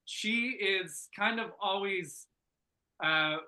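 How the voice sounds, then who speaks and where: A man with a very bright, forward voice, pitched fairly high. He talks informally and enthusiastically, feeling his way through feedback and often halting as he thinks aloud. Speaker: script reader giving feedback. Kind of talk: casual workshop feedback discussion.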